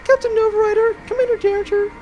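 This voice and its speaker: A woman's voice: extremely high pitch, steady tone, fast, lo-fi sound, loud music behind the voice. A woman playing an earnest, hesitant young female pilot in a comedic audio drama, with noise behind her. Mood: earnest, awkward.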